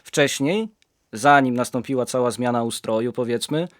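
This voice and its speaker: A man's voice: mid-range voice, normal speed, dry recording, clean studio sound. A young man reflecting casually in a colloquial, explanatory way. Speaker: participant in a community audio drama project.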